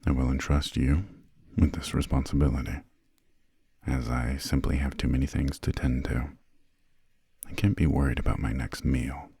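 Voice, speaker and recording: Haughty, formal vampire lord played by a man for ASMR roleplay: extremely low, breathy and husky, measured and hushed. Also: dry recording, full character voice. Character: refined, imperious male vampire lord, formal and fussy. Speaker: employer briefing a new assistant.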